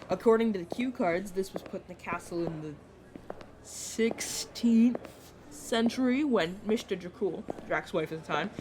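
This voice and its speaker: A woman's voice: medium pitch, natural voice. Young male vampire mentor, played by a woman, playful, casual, dramatic streak, audio roleplay, faintly noisy recording. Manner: teasing, casual, dramatic about food. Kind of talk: audio roleplay acting, addressing the listener directly.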